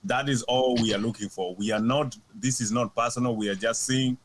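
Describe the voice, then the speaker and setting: A Kenyan man, a guest panelist, debating politics quickly in English and Swahili, laughing and restarting words. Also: medium pitch, steady tone, slight background noise, rough recording. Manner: fast, laughing, stumbles and restarts.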